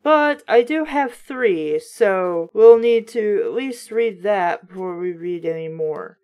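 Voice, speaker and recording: A woman's voice: medium pitch, animated, slow, darkish tone. A woman reading a children's book aloud in a casual way, breaking off for chatty, wry, skeptical commentary.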